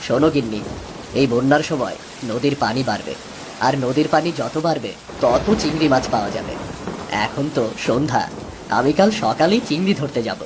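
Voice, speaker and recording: A man's voice: mid-range voice, lively intonation, a little fast, background noise, close to an everyday voice. A man playing a patient, pious village father and poor farmer in a cartoon, with a homely manner. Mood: reassuring.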